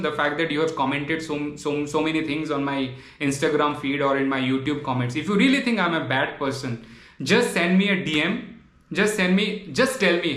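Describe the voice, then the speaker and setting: A man from Tripura, whose mother tongue is Bengali, pleads straight to the camera in an earnest, impassioned monologue, repeating his points and tossing in "man". His voice sits fairly high for a man. The room around him gives a noticeable echo. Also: fairly bright tone. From Tripura, Northeast India. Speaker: vlogger.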